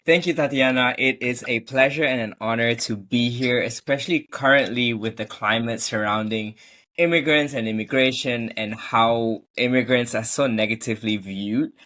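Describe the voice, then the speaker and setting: This is a man in his thirties who grew up in Malaysia. He speaks at a middle pitch as an interview guest, answering in an earnest, conversational way and telling things as stories. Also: sharp tone. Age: 30s. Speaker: podcast guest, immigration attorney.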